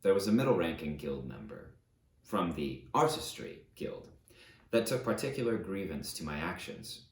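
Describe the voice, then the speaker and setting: Male narrator as remorseful conqueror, deep pitch, grave, emphatic, measured confession, dramatic audiobook reading, roomy echo. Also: very animated, vocal fry, slight background noise, full character voice. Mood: brooding. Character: remorseful fallen tyrant conqueror confessing his atrocities.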